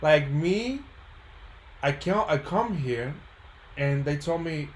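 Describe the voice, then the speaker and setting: A man from the Dominican Republic chatting casually in an animated way, often checking in with his listeners. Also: on the high side, slow. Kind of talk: casual chat. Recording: roomy echo, faint background noise.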